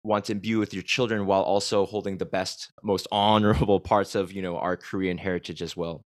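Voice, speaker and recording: A man's voice: deep voice, level tone, a little fast, dry recording, clean studio sound. An American man hosting a podcast, talking reflectively and rambling a bit with restarts. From United States. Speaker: podcast host. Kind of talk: conversational podcast interview.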